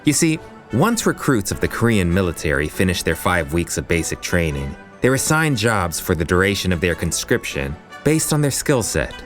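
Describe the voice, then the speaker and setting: A wry storyteller with a low, deep voice. He narrates a romantic comedy podcast with very lively intonation, in a polished scripted style. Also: moderate pace, soft background music. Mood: wry, measured.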